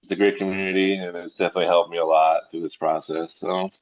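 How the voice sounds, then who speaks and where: Low-voiced, subdued man on a muffled call. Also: flat delivery, fast. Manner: subdued, hesitant, repeats short phrases. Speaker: guest on an online community call. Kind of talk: casual, personal conversation. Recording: dull, lo-fi call audio.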